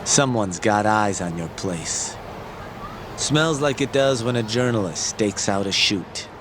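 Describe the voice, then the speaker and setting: Sly, slightly low-voiced informant. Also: male voice, expressive, slow, noisy background. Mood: sly, knowing. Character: sly, smooth-talking male journalist informant, casually knowing. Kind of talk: video game cutscene dialogue. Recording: music behind the voice.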